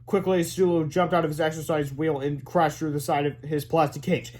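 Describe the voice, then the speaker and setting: A man reading a children's book aloud as an expressive storyteller. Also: fairly high pitch, brisk. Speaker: read-aloud reader.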